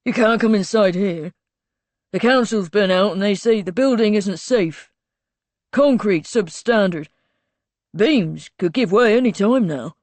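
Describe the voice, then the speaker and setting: A woman's voice: medium pitch, a little slow, darkish tone, no room sound, pitched above the performer's own voice, lightly acted. Brief: rude burly farmhand, voiced by a woman, defiant and curt.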